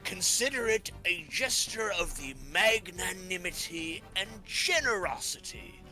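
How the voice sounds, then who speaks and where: A man voicing a pompous president in a video game fan dub, with florid, drawn-out oratory. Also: high-pitched, expressive, bright, forceful tone, soft background music, full character voice. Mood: grandiose. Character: pompous grandiose male president, bombastic politician. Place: hobby live-streamed voice session.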